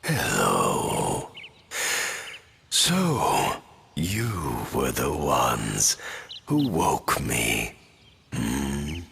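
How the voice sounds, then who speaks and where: Ancient giant tree creature, video game, extremely slow, drowsy, gentle, deep gravel, clearly noisy audio. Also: male voice, huge pitch swings, full character voice. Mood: drowsy, musing. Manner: slow, halting, drawn-out speech. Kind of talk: video game cutscene voice acting.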